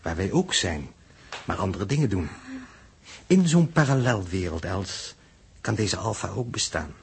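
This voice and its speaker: A learned spaceship mathematician with a strongly husky, fairly low voice. He is coolly fatalistic and lectures in precise, formal language, in the manner of a 1960s radio play. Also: sing-song, unhurried, exaggerated voice. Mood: enthusiastic lecturing. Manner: pedantic, lecturing, formal wording. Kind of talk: 1960s Dutch radio drama acting.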